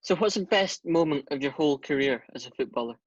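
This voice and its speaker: A man's voice: a little high, expressive, fast talker, no room sound, highs cut off. A man asking short interview questions and signing off the episode. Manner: brief, plain questions and sign-off. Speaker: interviewer, channel host.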